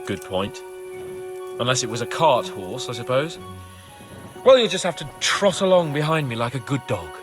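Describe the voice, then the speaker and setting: A man's voice: mid-range voice, lively intonation, moderate pace, pauses often, music in the background, lightly acted. A man playing a spoiled, hot-tempered young nobleman in a video game, sneering and pompous in a theatrical way. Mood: haughty sarcasm. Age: young adult. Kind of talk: video game cutscene acting.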